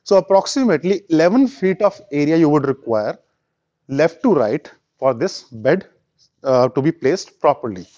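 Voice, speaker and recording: A man in his thirties with an Indian accent, explaining a piece of furniture in a large showroom in a measured, sales-minded way. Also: a little high, animated, warm tone, no room sound, muffled recording. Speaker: furniture company presenter. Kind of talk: product walkthrough.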